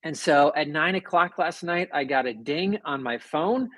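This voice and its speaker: A man with a soothing, somewhat high-pitched voice talks casually on a livestream. His delivery is conversational and rambling, with a self-deprecating touch. The sound is dry, with no room echo. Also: expressive, moderate pace, fairly bright tone. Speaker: livestream host, author. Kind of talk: casual livestream chat, reading and answering viewer comments.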